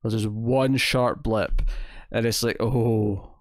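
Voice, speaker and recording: A man's voice: on the low side, fairly even intonation, slow, no echo, clean studio sound. A young Scottish man chatting casually and in a rambling way as he co-hosts a review podcast. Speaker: podcast co-host. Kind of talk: casual chat, TV episode review. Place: indoors at home, online video call.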